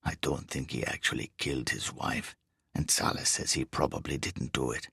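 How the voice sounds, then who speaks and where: A man voicing a menacing foreign burglar with a foreign accent, talking in a low, curt, impatient whisper. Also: steady tone, moderate pace, vocal fry, sharp tone, slightly rough, no echo, studio quality, heavily acted. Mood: hushed, curt. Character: menacing foreign male burglar, bass-voiced, assertive.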